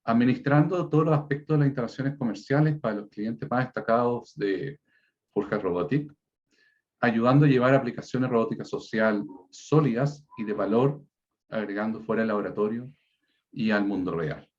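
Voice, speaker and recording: A man's voice: medium pitch, moderate pace, a little mellow. A man moderating an online seminar, formally reading out a speaker's introduction over a video call. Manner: formal, read-out delivery. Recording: dull lo-fi online call audio.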